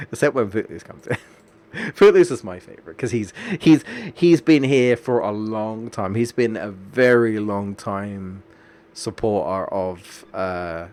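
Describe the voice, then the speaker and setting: A man's voice: lowish voice, slow, darkish tone. A man with a stuffy nose from a cold, chatting casually and rambling as he streams a game. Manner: rambling, chatty, restarts sentences often.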